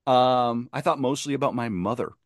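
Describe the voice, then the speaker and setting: A man's voice: mid-range voice, moderate pace, no echo. A man speaking as a podcast guest in casual, reflective conversation, earnest and discursive, repeating words for emphasis.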